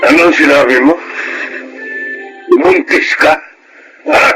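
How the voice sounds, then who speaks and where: A man's voice: fairly high pitch, huge pitch swings, slow, creaky, over music, heavily acted, poor audio. An actor playing a furious, shamed older father in a Tagalog radio drama, berating and exclamatory, with a Filipino accent. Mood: shocked anger. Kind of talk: Tagalog audio drama acting.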